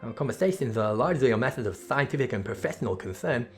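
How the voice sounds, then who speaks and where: A man voicing a reserved, scholarly woman from a fantasy game, courteous and precise in formal, measured wording. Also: mid-range voice, lively intonation, soft background music, close to an everyday voice. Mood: measured, wistful.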